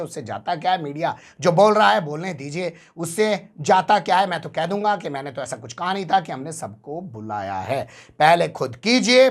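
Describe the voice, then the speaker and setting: Sarcastic male news commentator. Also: fairly high pitch. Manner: sarcastic, rhetorical questions to the viewer. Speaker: news channel presenter.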